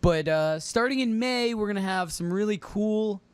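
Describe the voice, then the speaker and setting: A man's voice: high voice, normal speed, clean tone, no room sound. Chatty male podcast host, dry sound. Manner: chatty, repeats himself when stalling. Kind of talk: casual chat.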